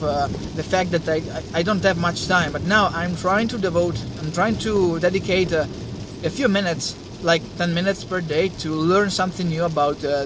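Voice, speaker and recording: A young man with an Italian accent speaks in a fairly high voice, pausing often on fillers as he talks casually to camera. Street and traffic noise sits clearly behind him outdoors. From Italy. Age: young adult. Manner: hesitant, many uh fillers and restarts. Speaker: science channel host.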